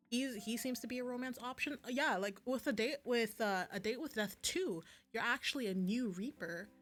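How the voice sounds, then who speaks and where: A woman's voice: medium pitch, a bit bright. Rambling, gushing woman streamer over music. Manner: rambling, gushing, self-interrupting. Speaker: livestreamer playing visual novels. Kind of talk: casual chatty stream commentary. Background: background music.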